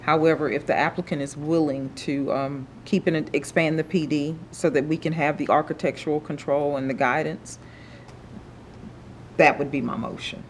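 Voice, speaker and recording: Commissioner, woman, animated, informal, faint hiss. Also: deep voice, normal speed. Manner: animated, informal, uses y'all. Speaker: planning commission member. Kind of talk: public meeting discussion. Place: city council chamber.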